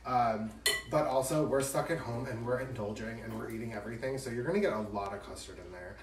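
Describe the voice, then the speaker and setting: A man's voice: medium pitch, brisk. A man hosting a casual live cooking demonstration, chatty and joking with campy asides. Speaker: cooking show host. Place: home kitchen, indoors. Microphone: camera a few steps away. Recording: echoey, distant, noisy kitchen with dish clatter.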